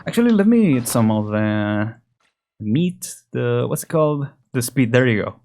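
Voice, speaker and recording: A man's voice: medium pitch, very animated, moderate pace, darkish tone, a little hiss or hum. A man hosting a gaming video with casual commentary, offhand and chuckly, muttering asides as he plays. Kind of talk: casual let's play commentary. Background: game music.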